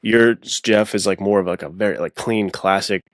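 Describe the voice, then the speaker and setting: Male podcast host, low voice, close dry sound, casual and rambling. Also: normal speed, dry recording. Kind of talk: casual conversational interviewing.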